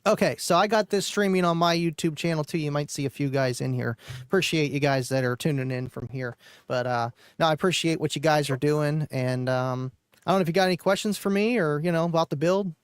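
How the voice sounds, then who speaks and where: A man with a somewhat high voice talks loosely and easily, filling gaps with "you know" and "like". His sound is dry and close, with no room echo. He co-hosts a live stream, chatting about car audio. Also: a little fast, clear, resonant tone. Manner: relaxed, rambling with many fillers.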